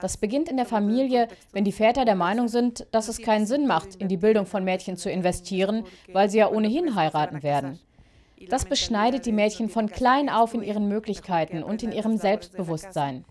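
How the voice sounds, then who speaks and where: Calm female voice-over, slow and deliberate. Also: mid-range voice. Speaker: voice-over translator. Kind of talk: voice-over dubbing of an interview.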